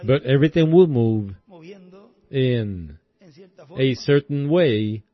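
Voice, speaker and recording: A hoarse man preaches a sermon at an unhurried, measured pace, stopping often between short phrases. His pitch sits in an ordinary middle range. The recording is dull and lo-fi. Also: animated. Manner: deliberate, halting phrasing with many pauses.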